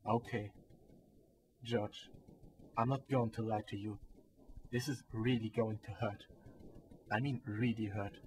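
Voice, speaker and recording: A man acting a kind, brave young soldier in a radio drama, with a calm, measured manner. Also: lowish voice, natural voice. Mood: grave, reassuring. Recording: noisy student radio drama, ambience behind.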